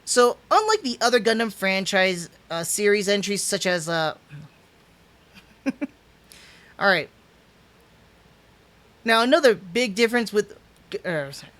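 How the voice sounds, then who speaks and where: A man's voice: high voice, slow, pauses often. A man in his thirties hosting a casual livestream review from a small room at home, talking into a desk webcam setup, rambling and restarting, stumbling over names and chuckling. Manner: animated, gestures with hands while talking.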